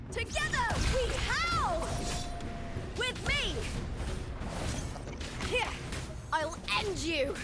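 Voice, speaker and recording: A woman's voice: very high voice, big pitch swings, slow pace, pauses often, exaggerated voice. An actress voicing a fierce, exultant young woman warrior in a video game, calling out bold, rallying battle cries. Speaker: video game character in combat. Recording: loud game music behind.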